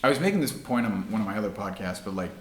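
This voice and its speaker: A man's voice: on the low side, lively intonation, rapid-fire, reverberant. A male podcast host chatting in a laid-back way, saying "like" a lot. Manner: laid-back, heavy use of "like". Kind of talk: casual chat.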